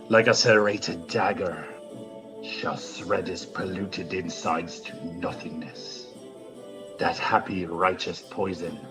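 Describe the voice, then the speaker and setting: A man's voice: low voice, low-quality recording. A man playing a gloating, fanatical poisoner of the French court in an audio play, grandiose and venomous. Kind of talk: melodramatic audio drama monologue.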